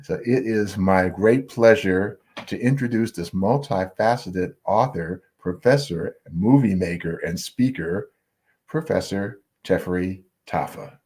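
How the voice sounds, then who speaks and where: A man's voice: low voice, a little slow, mellow. A man hosting an interview, reading a formal introduction and then asking casual questions. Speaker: interview host.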